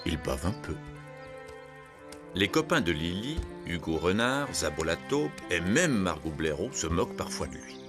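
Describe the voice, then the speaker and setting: Male storyteller, fairly low voice, animated pitch, expressive children's narration, clear background music. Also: a little slow.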